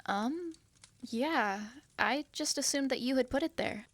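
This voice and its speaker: Woman doing a timid little winged girl, soft and hesitant, kinda gravelly, dry close sound. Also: high voice, a little slow, no room sound. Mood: shy. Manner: hesitant, apologetic, soft-spoken. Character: shy young winged girl traveling with her cat. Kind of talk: fantasy audio drama acting.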